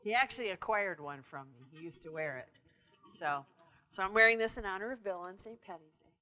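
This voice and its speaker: A woman's voice: medium pitch, lively intonation, a little fast, pushed and bright. A woman co-presenting an evening talk, chatty and joking with the audience. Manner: chatty, joking, laughing asides. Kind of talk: casual chat to a live audience. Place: bookstore event room.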